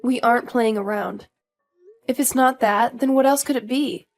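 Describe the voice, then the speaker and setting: A woman's voice: on the high side, normal speed, soft background music, close to an everyday voice. A woman playing an earnest young daughter-in-law who is polite but firm, in a scripted audio story. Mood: firm, insistent. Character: earnest, indignant young daughter-in-law standing her ground.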